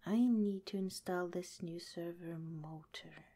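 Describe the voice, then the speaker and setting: A woman voicing a gentle, caring female head scientist of a secret underground lab, speaking in a soft, slow whisper. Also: fairly low pitch. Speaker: ASMR roleplay performer. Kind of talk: ASMR roleplay.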